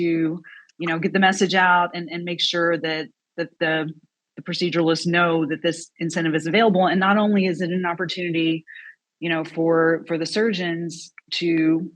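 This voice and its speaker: A panelist makes a point about costs in a webinar discussion. The voice is mid-pitched, and the delivery is measured and unhurried, with frequent fillers and restarts. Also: fairly bright tone, low-quality recording.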